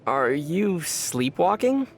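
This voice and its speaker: A man playing a young male traveler hero in a video game, speaking in a calm, gentle, thoughtful and measured way. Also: fairly high pitch, full character voice. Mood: lightly amused. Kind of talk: video game character voice lines.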